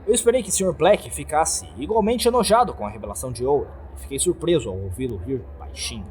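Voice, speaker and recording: A man's voice: a little high, expressive, fast talker, darkish tone. Brazilian guy reading a creepypasta, dramatic, voice moves up and down a lot, slight noise in the background. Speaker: narrator. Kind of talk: dramatic scripted horror narration.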